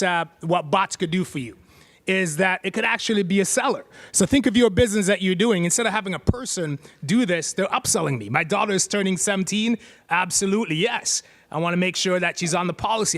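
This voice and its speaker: A man's voice: high-pitched, fairly bright tone. A middle-aged man giving a playful, chatty live tech demo on stage, joking with the crowd into a handheld mic.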